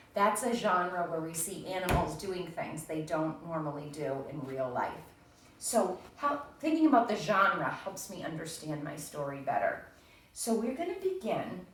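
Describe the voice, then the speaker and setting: Woman, schoolteacher reading aloud to kids, very animated intonation, explanatory, recorded far from the mic. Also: on the low side. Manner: explanatory, addresses children directly as friends. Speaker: primary school teacher. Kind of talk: read-aloud reading lesson for young children. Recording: echoey room, distant mic, faint noise.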